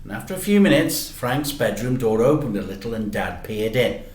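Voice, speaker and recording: A man narrating a children's story in an expressive, deliberate way. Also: medium pitch. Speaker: storyteller, teacher. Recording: roomy, echoey sound.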